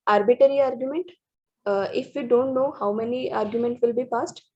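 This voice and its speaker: A woman reads through programming slides, stopping often and restarting words. Her voice sits fairly high and sounds husky and mellow. The recording is dull and lo-fi. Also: steady tone. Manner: halting, restarts words, many fillers. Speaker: student presenter.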